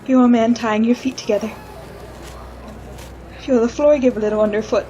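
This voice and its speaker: A woman acting a frightened condemned prisoner in a retro radio drama, pleading innocence in a halting, folksy way. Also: a little high, fairly even intonation, warm tone, lo-fi sound, slight background noise, natural voice. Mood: haunted dread.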